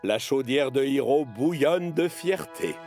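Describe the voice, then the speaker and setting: A man narrating a children's animated story in an expressive storyteller's manner. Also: mid-range voice, measured pace. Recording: music behind the voice. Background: music.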